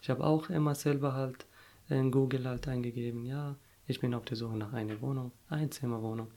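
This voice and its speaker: A young adult man, an Arabic native speaker, talks through his experiences as an interviewee. He speaks haltingly with many fillers, stopping to find the right words. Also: medium pitch, fairly even intonation, mellow. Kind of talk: casual personal story.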